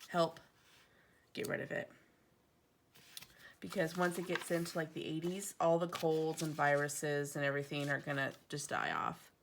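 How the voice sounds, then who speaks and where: Chatty woman with a gravelly low voice. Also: fairly bright tone, slight background noise. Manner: chatty, rambling, digresses often. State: stuffy from allergies. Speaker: planner vlogger. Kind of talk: casual chat while decorating a planner. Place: home, indoors.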